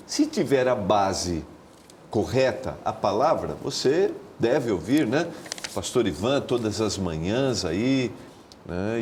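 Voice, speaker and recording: A Brazilian man with a Brazilian Portuguese accent hosting a Bible study talk show, animated and full of tag questions. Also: slight background noise. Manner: animated pitch jumps, frequent tag questions. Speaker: TV Bible study host, pastor. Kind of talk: casual studio talk.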